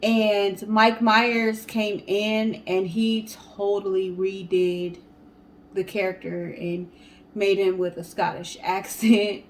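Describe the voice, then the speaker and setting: A woman co-hosting a show, telling stories and chatting casually to the audience in an animated way. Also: mid-range voice, slow, slight background noise. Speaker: co-host of a storytelling guessing show. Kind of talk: casual storytelling and chat to viewers.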